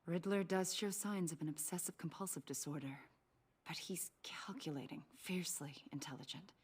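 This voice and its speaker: Low, husky female agent. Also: moderate pace. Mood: curious, probing. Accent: American. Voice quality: husky, breathy. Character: shrewd, probing young female government agent. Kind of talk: video game voice acting.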